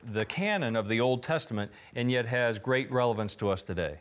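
A man's voice: mid-range voice, expressive, brisk, a little hiss or hum. Earnest man co-hosting a prophecy show. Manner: earnest and affirming, turns to the partner with questions. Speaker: co-host of a Bible prophecy TV program. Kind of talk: conversational Bible teaching.

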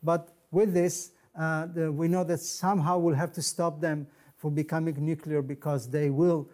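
A middle-aged man whose first language is Hebrew, speaking as a guest minister in an interview, earnest and preacherly with emphatic pauses. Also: fairly high pitch, little pitch movement, darkish tone. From Israel. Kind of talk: interview, testimony and blessing.

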